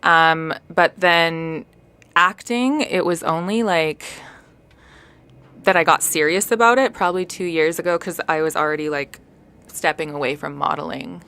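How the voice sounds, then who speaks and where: A woman's voice: low voice, unhurried, a bit bright, clean tone. A woman in her thirties, from Canada and Spain, chatting as an interview guest with frequent "um" fillers. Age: 30s. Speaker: guest, model and actor. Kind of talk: casual interview chat.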